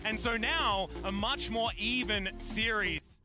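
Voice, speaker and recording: A man commentating live on an esports match in an excited play-by-play style. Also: high-pitched, slow. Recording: loud music under broadcast commentary.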